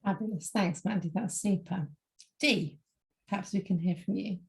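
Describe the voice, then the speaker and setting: A woman's voice: medium pitch, normal speed, creaky. A woman hosting and moderating a panel, chatty and a bit hesitant, with light joking asides.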